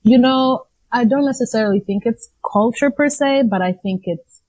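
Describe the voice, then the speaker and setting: A woman's voice: fairly high pitch, moderate pace, a little mellow. A young adult woman from Rwanda, talking as an interview guest about her nonprofit in a candid, chatty way with fillers and restarts. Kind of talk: casual conversational interview.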